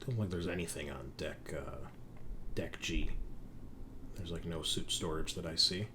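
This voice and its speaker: A man running a tabletop roleplaying game as game master, narrating casually and haltingly as he thinks aloud. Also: sits low, background noise.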